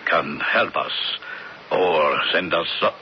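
An actor playing a solemn, devout older man in a radio drama, speaking in halting, terse phrases with dropped articles. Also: sits low. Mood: grave awe. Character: solemn Native elder, devout, resigned, self-sacrificing man. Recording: muffled, noisy old radio broadcast.

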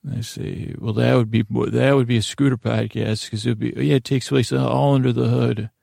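A man hosting a podcast with a rambling, improvised monologue, halting and often interrupting himself, in a creaky, gravelly voice. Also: medium pitch, flat delivery, warm tone, no echo, clean studio sound. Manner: meandering, halting, self-interrupting. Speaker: podcast host.

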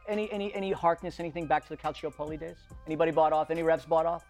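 Male sports panelist, rapid-fire delivery, slightly high voice, teasing casual banter. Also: steady tone, darkish tone, faint music behind.

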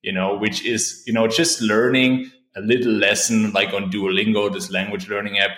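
A man's voice: on the low side, level tone, moderate pace, a bit bright. A man who works as a performance coach, answering interview questions in an upbeat, chatty way. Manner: upbeat, chatty, frequent "right?" and "you know". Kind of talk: casual interview chat. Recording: roomy echo.

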